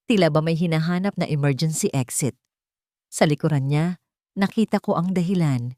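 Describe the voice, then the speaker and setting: A woman's voice: low voice, mellow, no echo, studio quality. A woman narrating a dramatic romance story in the first person, as a storyteller.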